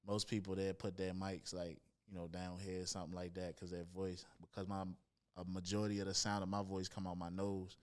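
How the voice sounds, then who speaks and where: A young man with a distinctly nasal voice sits in as a rapper guest, spinning his stories in loose, laid-back slang. His voice sits a little low and comes through smooth and clean on a close studio mic. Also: level tone, a little fast. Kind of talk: casual interview storytelling. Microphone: studio podcast mic near the nose.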